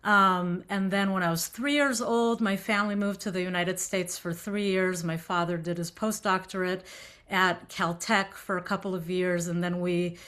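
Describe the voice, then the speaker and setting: A woman from Israel with a faint accent speaks to a gathered group in a relaxed lecture. Her voice is bright and clear, and she talks in a chatty way, often pausing on fillers and restarting her sentences. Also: fairly low pitch. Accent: light Israeli. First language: Hebrew. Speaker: synagogue musician giving a talk.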